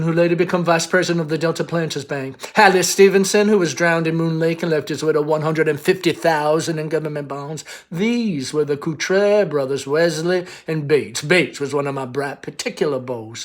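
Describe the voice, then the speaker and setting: Man playing an airy, chattering Southern belle mother, high and girlish. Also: close to an everyday voice. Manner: theatrical, rambling, gushing.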